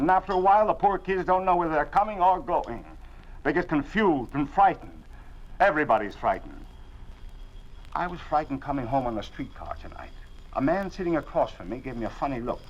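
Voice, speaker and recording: A man's voice: medium pitch, a little hiss or hum, close to an everyday voice. Guy acting as a worried middle-aged dad in an old radio play, stumbly and earnest, muffled old broadcast sound. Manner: anxious, earnest, halting repeats. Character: worried middle-aged family father under a regime. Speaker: father. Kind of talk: 1950s radio drama acting. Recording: dull old 1950s radio recording.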